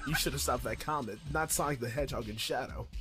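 A man's voice: medium pitch, quick pace, close to an everyday voice. A man playing a blunt president scolding his soldier in an improvised comedy game fandub. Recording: music over game fandub playback.

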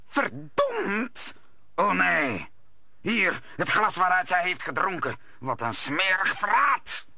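A stern musketeer in a vintage radio play. His voice is very forceful and bright, commanding, and recorded dry. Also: high-pitched, wildly swooping pitch, takes it slowly, vocal fry, no room sound, heavily acted. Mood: outraged. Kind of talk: old Dutch radio play acting.

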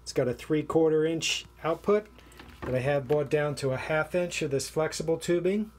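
A man's voice: on the high side, normal speed. Middle-aged man, laid-back vlog explainer, basement room on a camera mic with faint hum. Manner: relaxed, plain explaining with fillers. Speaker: vlogger. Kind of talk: casual show-and-tell walkthrough. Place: small basement utility room.

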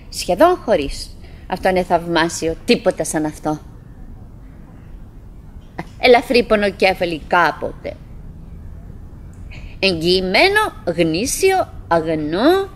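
An actress playing a cheerful, fretful middle-aged wife who muses to herself in fragments and sighs in a live stage monologue. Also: female voice, mid-range voice, close to an everyday voice. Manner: fragmented musing with sighing exclamations. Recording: noisy 1979 live theatre recording.

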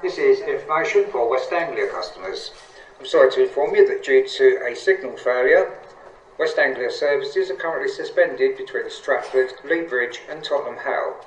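A man with an English accent reading a formal, scripted service announcement for rail passengers. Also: mid-range voice. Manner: formal, measured apology wording. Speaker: railway service disruption announcer. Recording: echoey, distant tannoy with faint noise. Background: faint station ambience.

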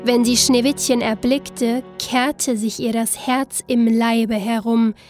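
Unhurried woman storyteller, fairly high voice. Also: faint music behind. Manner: expressive, unhurried storybook telling. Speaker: storyteller on a fairy tale podcast. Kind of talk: scripted fairy tale narration.

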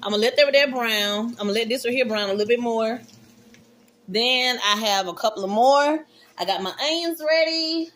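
A woman in her thirties with a Southern American accent, chatting excitedly to viewers while she cooks in her small home kitchen, moving toward and away from a camera set on the counter. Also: on the high side, normal speed, a little hiss or hum. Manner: chatty and excitable, calls viewers y'all and honey. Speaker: vlogger. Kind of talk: casual cook-along chat. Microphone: phone or camera set on the counter, she moves around it.

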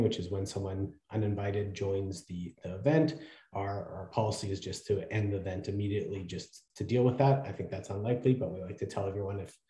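A man with a low, mellow voice makes friendly announcements on a video call. He hesitates now and then with fillers. His voice echoes in the room. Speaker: event co-chair and moderator. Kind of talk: housekeeping announcements.

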